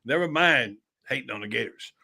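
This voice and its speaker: Gravelly guy ranting on sports radio, bright sharp edge, all worked up. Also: mid-range voice, expressive, a little fast. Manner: fired-up, ranting. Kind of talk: heated sports talk.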